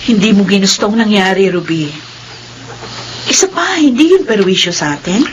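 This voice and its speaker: A woman's voice: mid-range voice, animated, moderate pace, a little hiss or hum, close to an everyday voice. A woman playing a caring, long-suffering, devout middle-aged mother in a radio drama, with tender emotion.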